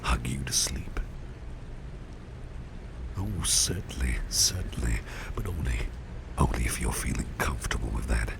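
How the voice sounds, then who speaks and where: A man playing a doting, protective businessman boss in an ASMR roleplay, murmuring in a gentle, courteous, breathy whisper with unhurried, reassuring repetition. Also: a bass voice, full character voice. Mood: caring, attentive. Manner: gentle, unhurried, hesitant pauses, repeats phrases reassuringly. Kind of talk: ASMR roleplay, close personal attention. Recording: audible steady background noise.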